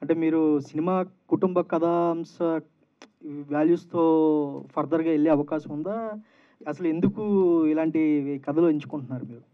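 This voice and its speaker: A man's voice: fairly high pitch, normal speed, pauses often. A man putting questions as a reporter at a press event. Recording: thin, phone-like event audio.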